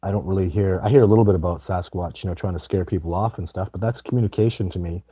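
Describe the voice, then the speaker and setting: A middle-aged man with a sore, raspy throat and a very low, dark voice talks through a live Q&A. He rambles and restarts often, pressing his points hard. The sound is close and dry, with no room around it. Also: fast talker, no echo, highs cut off. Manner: rambling, emphatic, many false starts. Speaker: livestream host answering viewer questions. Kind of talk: casual live chat.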